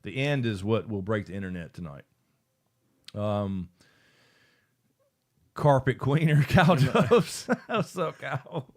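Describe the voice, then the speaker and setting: A man's voice: mid-range voice, sing-song, takes it slowly, long pauses, a little mellow. A man hosting a casual livestream after-show, rambling, swearing and laughing as he reads out viewer comments. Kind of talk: casual live chat, reading viewer comments.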